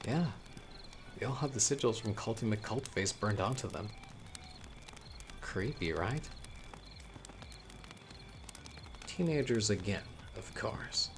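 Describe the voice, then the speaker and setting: A man playing a chatty young storyteller with hidden menace in a horror audio roleplay, talking casually with wry asides and hesitant pauses. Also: on the low side, animated, vocal fry, noisy background. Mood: wry, spooky.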